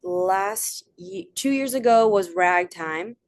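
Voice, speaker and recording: A woman's voice: mid-range voice, expressive, takes it slowly. A young adult woman giving a casual online presentation, chatty, with frequent fillers and asides. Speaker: presenter of a theater talk.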